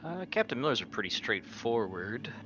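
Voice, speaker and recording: A man's voice: medium pitch, very animated, normal speed, bright, forceful tone, highs cut off. A man casually recapping his characters as a player in a tabletop roleplaying game. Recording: faint background noise.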